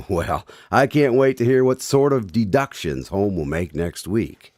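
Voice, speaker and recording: A man's voice: on the low side, animated, a little slow, a little mellow, no room sound, studio quality. A man hosting a radio drama in a scripted style, chatting about the story and reading the credits. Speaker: radio drama host and announcer. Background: music under the voice.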